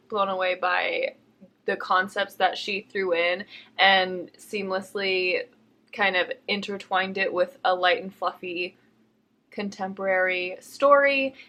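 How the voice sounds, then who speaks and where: A young woman with a bright, lively-edged voice talks casually as a book vlogger. She is playful and teasing, and gushes freely in an everyday conversational way. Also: medium pitch. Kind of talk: casual chat.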